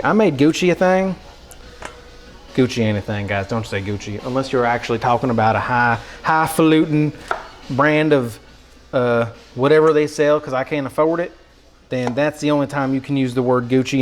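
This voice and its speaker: A man putting on an American Southern country accent as a goofy, excitable countryman, rambling casually to a livestream chat in a folksy drawl. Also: mid-range voice, normal speed, faint music behind, voice pushed down, lightly acted. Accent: put-on American country, Southern. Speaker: live streamer cooking for chat.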